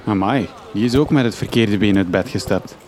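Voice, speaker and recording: A man's voice: on the low side, a little fast, background noise, lightly acted. A man playing an earnest, bewildered young journalist with a Flemish accent, thinking aloud in a radio comedy drama. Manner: naive, puzzled, thinking aloud. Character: earnest, bewildered young journalist back in his home village.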